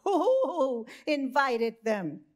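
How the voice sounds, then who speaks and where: Woman playing a high, bleating mother lamb. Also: very animated, very slow, exaggerated voice. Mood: fretful.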